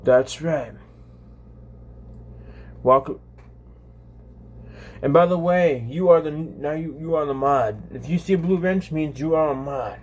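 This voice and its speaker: A man in his twenties hosting a casual livestream, talking to viewers in a halting, repetitive way with frequent restarts. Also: a little high. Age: 20s. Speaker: live streamer, channel host.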